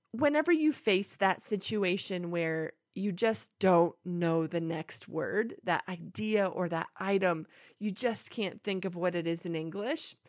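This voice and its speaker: Woman, English teacher, clear deliberate explaining, medium pitch, dry sound. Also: no room sound. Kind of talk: language lesson to camera.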